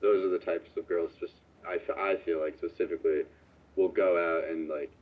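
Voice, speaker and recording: A man's voice: low-pitched, fairly even intonation, normal speed, phone-quality sound. A young man, an anonymous student, rambling in an interview with many "like"s.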